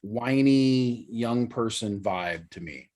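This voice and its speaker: A man's voice: mid-range voice, expressive, slow, a little mellow. A man hosting a casual podcast, explaining things chattily and hedging with fillers. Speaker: podcast host. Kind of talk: casual chat.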